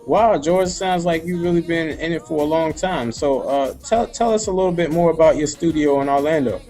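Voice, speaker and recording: A man's voice: on the high side, brisk, warm tone, faint music behind. Stammering male host asking questions. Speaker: show host. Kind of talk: interviewing guests.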